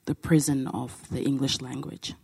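A man's voice: mid-range voice, flat delivery, moderate pace, gravelly, a little mellow, husky. A young man from Zimbabwe asks an earnest, searching question from the audience at a post-show talk, often restarting his thoughts. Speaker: audience member asking a question.

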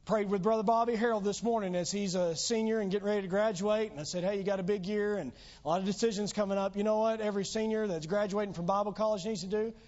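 Hoarse high-voiced man preaching. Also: fairly even intonation, quick pace, hardly any pauses, darkish tone. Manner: earnest preaching, rising emphatic exhortations. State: losing his voice. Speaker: pastor. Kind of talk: sermon. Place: church sanctuary. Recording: dull lo-fi church recording.

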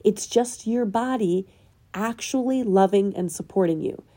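A woman with a very dark, mellow voice speaks as host of her own show, a little nasal from a lingering cold. She presses her listeners with earnest, probing questions in a spiritual self-help talk. Her pitch sits in an ordinary middle range. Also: a little slow. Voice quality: slightly nasal. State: getting over a cold. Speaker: podcast host.

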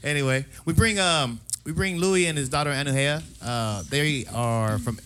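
A man's voice: fairly high pitch, normal speed, noisy background. A young man hosting a casual podcast, laid-back, laughing often and swearing casually. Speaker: podcast host. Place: small studio bar set.

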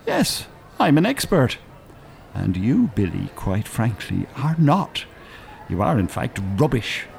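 An actor playing an older retired teacher in a radio drama, with precise formal wording and dry sarcasm. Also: male voice, medium pitch, very animated, slow pace, gravelly, darkish tone, slight background noise, full character voice. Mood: scornful. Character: prim, sardonic retired teacher, older man.

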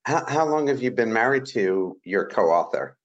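A man's voice: medium pitch, sing-song, normal speed, dull audio. A man hosting a business interview show, enthusiastic and a bit hesitant, with fillers. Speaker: podcast host. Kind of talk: interview host chat.

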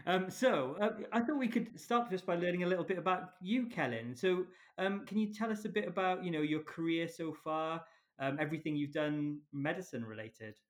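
A man hosting a podcast interview, relaxed and hesitant, with many fillers. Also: a little high, moderate pace. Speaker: podcast host. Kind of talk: casual interview chat.

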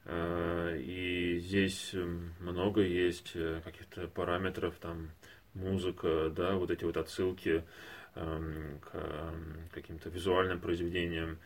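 A man's voice: deep voice, level tone, takes it slowly. A man co-hosting a podcast, discussing reflectively and conversationally, analytical and often searching for words. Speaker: podcast co-host.

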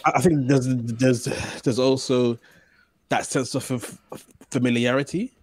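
A man with a medium-pitched voice. He chats casually as a podcast co-host. His delivery is slightly halting, with words repeated as he goes. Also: steady tone, husky, no echo. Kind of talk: casual chat.

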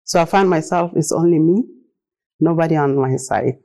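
South Sudanese-accented woman with a mellow voice. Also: deep voice, normal speed, vocal fry. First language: Arabic and Dinka. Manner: plain, earnest, non-native English phrasing. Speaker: speaker telling her own story. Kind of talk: personal presentation to listeners.

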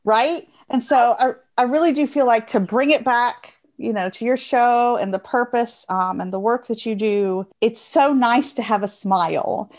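A woman's voice: on the high side, normal speed, hardly any pauses. An American woman talking informally and earnestly in a casual interview, often repeating phrases for emphasis.

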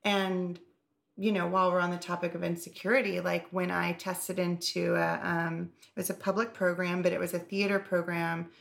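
Gravelly low-voiced woman chatting candidly. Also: flat delivery, normal speed, fairly bright tone. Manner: candid, rambling, heavy on "like" and swearing. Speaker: podcast co-host. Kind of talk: casual podcast chat.

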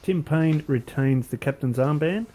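An Australian man with a fairly high voice hosts a casual cricket podcast over a call. He teases his co-hosts, rattles through lists of names and fake sponsors, and keeps the tone playful. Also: unhurried, warm tone. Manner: jokey, rattles off lists and mock introductions. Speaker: podcast host. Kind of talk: casual banter with mates. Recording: online call audio.

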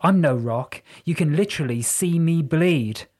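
A defiant young woman in an audiobook story, as played by the narrator, frustrated and emphatic. The voice is breathy and exasperated, with music running underneath. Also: medium pitch, animated, a little slow, darkish tone, voice pushed up.

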